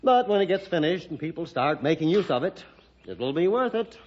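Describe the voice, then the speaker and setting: Lively, genial young American businessman. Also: on the high side, a little fast. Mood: genial, confident. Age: young adult. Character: genial, confident young male small-town businessman. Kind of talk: 1960s radio drama acting. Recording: muffled, faintly noisy old radio recording.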